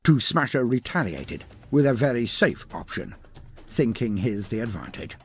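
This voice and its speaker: A man's voice: mid-range voice, very animated, normal speed, soft background music. A man narrating a scripted story in a dramatic, grandiose, theatrical manner.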